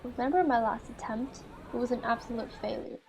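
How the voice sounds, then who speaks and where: A woman reading out the dialogue of a self-doubting husband and his encouraging wife from a visual novel, gently and with understatement. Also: on the high side, a little fast, creaky, slight background noise. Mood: subdued, gentle.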